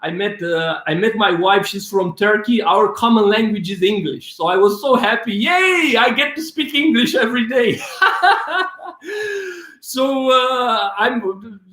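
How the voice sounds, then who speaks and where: A man's voice: high voice, unhurried, pushed and bright, roomy sound. A young man from Romania with a Romanian accent, answering viewer questions in a rambling, casual live Q&A, full of restarts, laughs and swearing. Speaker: filmmaker hosting a live Q&A.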